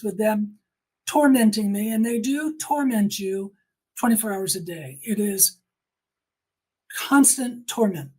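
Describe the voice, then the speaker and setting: Woman, slightly rough-edged voice, interview guest, personal storytelling, emphatic, repeats phrases. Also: mid-range voice, darkish tone, low-quality recording. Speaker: guest giving personal testimony.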